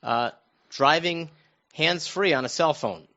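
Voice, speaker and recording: A middle-aged American man telling stories casually on a talk show, halting and restarting his phrases as he goes. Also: a little high, bright, forceful tone. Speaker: radio show panelist recounting his own news. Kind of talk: casual conversational storytelling. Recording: dull, lo-fi muffled sound.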